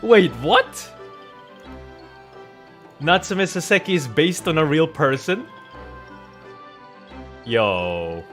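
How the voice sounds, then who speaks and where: A man's voice: on the high side, big pitch swings, drawn-out words, pauses often, a bit bright. A man doing casual commentary while he plays and reads out a video game. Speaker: game streamer and voice performer. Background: game music.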